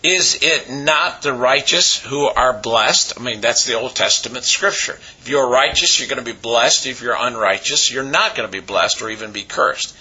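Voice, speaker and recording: A man with a raspy voice, hoarse from a cold, preaching a sermon as pastor with many pauses and fillers. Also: medium pitch, bright, forceful tone, highs cut off.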